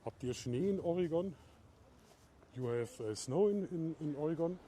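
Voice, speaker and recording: A middle-aged man from Bavaria with a German accent, chatting casually while walking outdoors and talking to viewers in a live video, moving between German and halting English. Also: on the high side, expressive, warm tone, a little hiss or hum. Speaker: livestream vlogger. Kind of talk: casual live walk-and-talk. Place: outdoors in a town at night.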